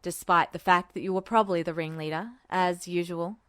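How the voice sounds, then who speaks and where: A woman's voice: fairly low pitch, fairly quick, clear, resonant tone, no room sound, voice pushed down, lightly acted. A woman voicing a smug, unflappable male military officer, dry and teasing as she reads the story aloud. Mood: smug, unruffled. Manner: dry, teasing, calmly commanding. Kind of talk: podfic character voice.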